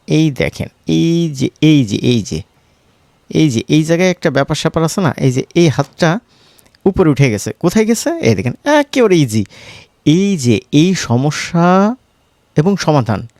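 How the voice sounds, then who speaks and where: Man, Bangladeshi Bengali accent, gravelly voice, informal tutorial, frequent check-in questions, close dry sound. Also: fairly high pitch, a little mellow, no room sound. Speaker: tutorial instructor. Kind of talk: informal screen-recorded software tutorial.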